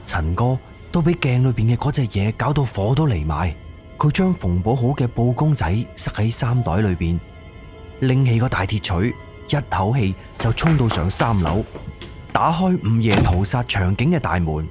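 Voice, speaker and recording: A man's voice: mid-range voice, big pitch swings, moderate pace, a little mellow, faint music behind. A man with a Cantonese accent narrating a scripted suspense horror audio drama.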